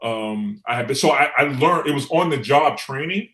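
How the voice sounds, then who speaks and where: A man's voice: medium pitch, lively intonation, moderate pace, bright, forceful tone. Echoey middle-aged man telling his story. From New York City. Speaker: guest, former city council member. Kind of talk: conversational interview storytelling. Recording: echoey room sound.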